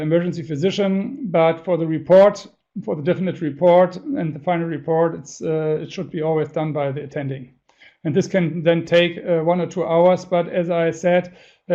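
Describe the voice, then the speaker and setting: A middle-aged man with a non-native English accent, a senior radiologist answering webinar questions in a halting, hesitant way with frequent self-corrections. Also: high voice, warm tone. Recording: dull lo-fi webinar audio.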